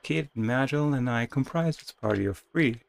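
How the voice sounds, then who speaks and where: A man's voice: mid-range voice, expressive, normal speed, vocal fry. A man reading a video game's story aloud as a dramatic narration, with playful casual asides. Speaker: video game streamer reading game text aloud.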